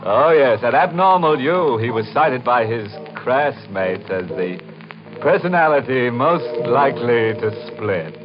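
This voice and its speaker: Ghoulish male horror-radio host played by an actor: macabre, theatrical, very varied pitch, old muffled broadcast. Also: lively intonation, a little slow, lightly acted. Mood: macabre glee. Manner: macabre, mock-solemn, theatrical. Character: ghoulish, punning male host of a creaking-door horror show. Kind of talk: 1950s radio horror acting. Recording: band-limited old radio broadcast, music behind.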